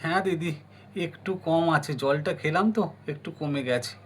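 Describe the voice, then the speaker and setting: A man's voice: on the high side, normal speed, a little hiss or hum, lightly acted. Ailing householder, male performer for children's doll play: tired, subdued, plain-spoken villager. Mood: weak, subdued.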